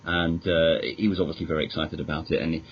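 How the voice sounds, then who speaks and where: A man's voice: a bass voice, flat delivery, fast. A British man chatting casually as an interview guest, talking in a hesitant, rambling but enthusiastic way. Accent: British English. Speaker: magazine editor, podcast guest. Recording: dull lo-fi audio with clear noise.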